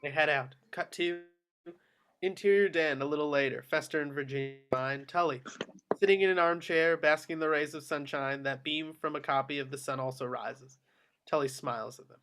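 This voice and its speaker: A man narrates a screenplay at a table read. His voice sits a bit higher than average. He reads out scene headings and action descriptions as scripted narration. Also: normal speed, a bit bright, slight background noise.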